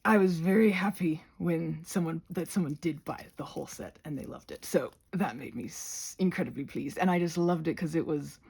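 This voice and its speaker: Crafty goblin female performer, ASMR ramble: husky, crackly, somewhat low, chatty and halting, prone to tangents. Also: a little mellow, full character voice. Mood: chatty, earnest.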